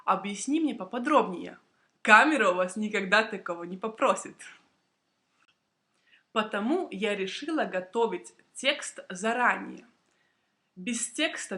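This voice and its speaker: A young woman, a native Russian speaker, tells a prepared personal story to camera for language learners in a deliberate, clearly phrased, expressive way. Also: mid-range voice. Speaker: Russian language teacher and video blogger.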